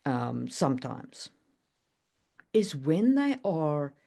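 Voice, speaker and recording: A woman chatting casually and opinionatedly, in an animated way, as on a writing podcast. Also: low-pitched, very slow, creaky. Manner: animated, opinionated, laughs readily. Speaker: podcast guest discussing writing. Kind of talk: casual chat.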